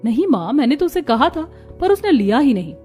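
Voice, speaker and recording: A woman voices a spoiled young college girl from a wealthy home in a cartoon tale. She sounds breezy and self-assured, pitched fairly high, with music behind her. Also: very animated, fairly quick, warm tone. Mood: defensive. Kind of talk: cartoon story dialogue.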